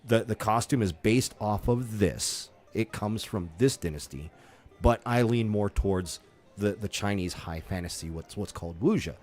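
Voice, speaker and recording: A man chatting on a podcast about performing at a festival, speaking in a halting way with many restarts; his first language was Taiwanese. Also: fairly low pitch, darkish tone, faint music behind. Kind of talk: casual podcast chat.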